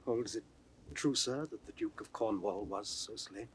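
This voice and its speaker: A man playing an inquiring, courteous gentleman of the court, formal, in classical Shakespeare audio drama style. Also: lowish voice, normal speed, a little hiss or hum, lightly acted.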